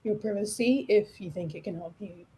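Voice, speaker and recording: A woman co-playing a game, chatting casually with animated, thoughtful questions. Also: medium pitch, normal speed, slight background noise. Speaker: let's-play co-player. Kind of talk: casual gameplay commentary. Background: game music.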